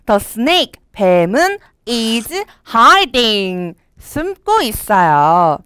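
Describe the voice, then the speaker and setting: A young woman teaching children English from a storybook, reading each line slowly and clearly and then explaining it. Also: fairly high pitch, big pitch swings, a bit bright, no room sound, clean studio sound. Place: studio with a green screen.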